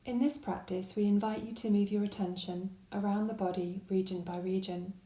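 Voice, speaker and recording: A woman guiding a body scan meditation with gentle, calm, unhurried instruction. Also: medium pitch, echoey room. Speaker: meditation guide.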